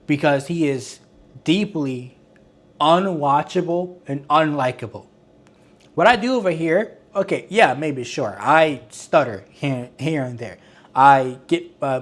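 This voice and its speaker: A man hosts a casual online commentary, his voice sitting a little higher than most men's. He rambles and restarts his sentences, sounding exasperated and critical. His delivery has a fairly monotone quality. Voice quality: self-described monotone. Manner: rambling, with frequent restarts and filler words. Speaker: commentary channel host.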